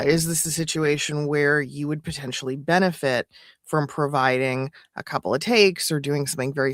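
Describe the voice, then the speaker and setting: A woman's voice: extremely low pitch, no echo. Explanatory woman in a very dry space. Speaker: co-host and voice-over coach. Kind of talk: casual explanatory discussion.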